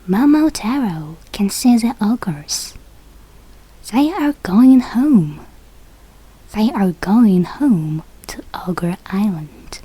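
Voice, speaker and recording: Female storybook reader, non-native English speaker. Soft-edged husky tone, extremely unhurried, careful delivery with expressive pitch movement. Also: poor audio. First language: not English. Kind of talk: slow read-aloud of a children's fairy tale.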